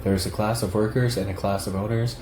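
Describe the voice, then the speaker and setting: A man reading a written text aloud at a steady, measured pace. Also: fairly low pitch, roomy sound, noisy background. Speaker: reader of a political text.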